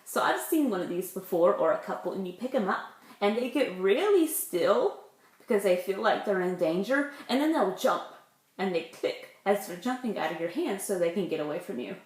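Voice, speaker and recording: A woman's voice: mid-range voice, expressive, normal speed, darkish tone. A woman, a preschool teacher reading aloud to young children with simple, chatty explanations. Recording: echoey room.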